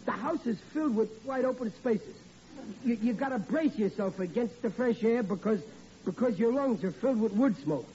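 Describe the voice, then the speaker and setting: Flustered family man, high and mellow, stammering bluster, dull old radio sound. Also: animated, moderate pace. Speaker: lead character in a radio comedy. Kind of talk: 1940s radio acting. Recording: old lo-fi radio recording with faint hiss.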